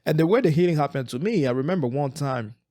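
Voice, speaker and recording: A man's voice: a little high, lively intonation, quick pace, dark tone, dry recording, studio quality. A man in his forties from Eastern Congo, co-hosting a podcast, talking earnestly in a reflective way and often repeating words as he restarts his sentences. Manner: earnest, frequent word repetitions and restarts. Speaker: podcast co-host. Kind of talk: reflective casual chat.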